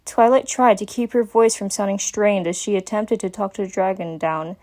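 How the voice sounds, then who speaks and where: A woman's voice: medium pitch, normal speed, a little mellow. A woman narrator reading a story aloud in a dramatic, scripted storytelling style. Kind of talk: dramatic fan fiction reading.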